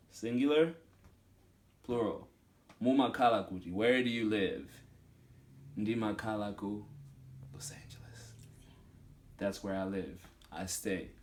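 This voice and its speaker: Man teaching slowly at a whiteboard. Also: lowish voice, darkish tone. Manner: slow, repeats each phrase and spells out word meanings. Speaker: language teacher. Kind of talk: whiteboard language lesson. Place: small indoor room at home.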